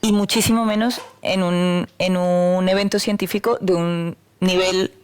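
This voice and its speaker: A woman on an online panel, speaking in a measured, firm way with halting pauses. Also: on the low side, fairly even intonation, smooth. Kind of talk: panel discussion. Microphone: video call.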